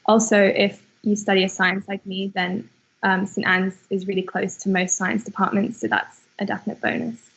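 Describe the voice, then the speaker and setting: Flat-toned young Portuguese-born woman. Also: medium pitch, moderate pace, gravelly, husky. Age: young adult. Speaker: student. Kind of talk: casual Q&A answers on video call. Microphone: laptop webcam mic on video call. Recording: dull lo-fi video call audio.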